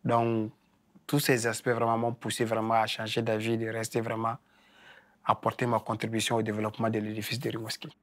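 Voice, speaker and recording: A man from Africa describing his experience of settling in, warmly emphatic and often stressing his words. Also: lowish voice, monotone, a little fast, no echo. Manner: warmly emphatic, repeats "vraiment". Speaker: discussion participant, immigrant. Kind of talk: personal testimony.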